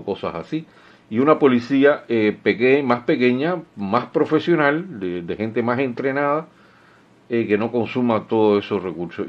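A middle-aged man with a Cuban accent giving solo political commentary, sarcastic and indignant, with many fillers and restarts, recorded at home on a studio mic. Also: mid-range voice. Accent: Cuban Spanish. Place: small home room. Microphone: studio mic with headphones. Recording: dull, lo-fi sound.

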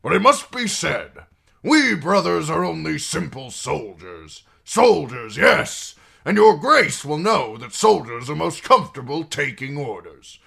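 A man voicing a fawning, scheming middle-aged lord with an oily, flattering manner. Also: on the high side, a little slow, creaky, slightly rough, pitched above the performer's own voice, full character voice. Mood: wheedling.

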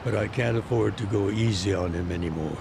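A gravelly old ex-yakuza boss. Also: male voice, lowish voice, background noise. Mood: calm, reflective. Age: older. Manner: slow, measured, reflective. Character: older retired male yakuza boss, weary and fatherly. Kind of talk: video game cutscene dub.

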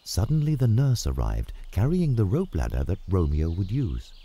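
A man narrating a scripted story with dramatic, deliberate enunciation. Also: deep voice, wildly swooping pitch, mellow, slightly rough.

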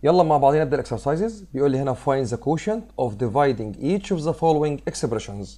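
A male math teacher with an Egyptian Arabic accent, slightly hoarse from a cold, working through a problem step by step. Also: medium pitch, lively intonation, a little fast, dark tone. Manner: explains step by step, mixing English math terms into Arabic. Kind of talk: screen-recorded math lesson. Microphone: voice-over on a screen recording.

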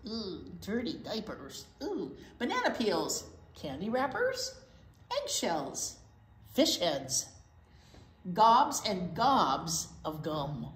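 A woman voicing a brash, boastful, hungry garbage truck in a picture book, in theatrical, punchy phrases with drawn-out words. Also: lowish voice, wildly swooping pitch, exaggerated voice. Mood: relishing filth. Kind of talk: picture book read-aloud. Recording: roomy echo, faint room noise.

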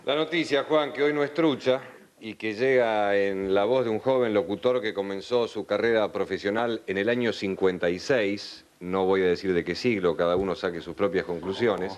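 A middle-aged Argentine man introducing a segment with wry, deadpan humour. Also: on the low side, normal speed, soft background music. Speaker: news segment presenter. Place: TV studio. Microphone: desk microphone. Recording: dull sound with faint hiss.

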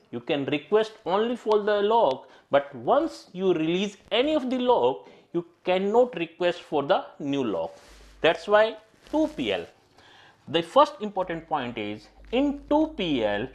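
A middle-aged man teaching an exam-prep lecture in a studio classroom, repeating key points and often addressing his students directly. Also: fairly high pitch. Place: studio classroom at a digital whiteboard.

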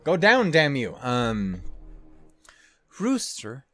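A man in his thirties giving casual, rambling reaction commentary as a host, swearing and halting as he digresses. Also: medium pitch, huge pitch swings, bright, forceful tone, soft background music. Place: small furnished room at home, indoors. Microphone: close desk condenser microphone.

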